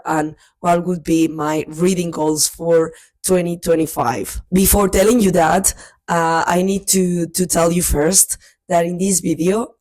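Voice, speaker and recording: Woman, chatty and low. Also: a little mellow, no room sound. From Spain. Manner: chatty, with frequent restarts and fillers. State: recovering from a cold. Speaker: book vlogger. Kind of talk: casual chat. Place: family house, indoors.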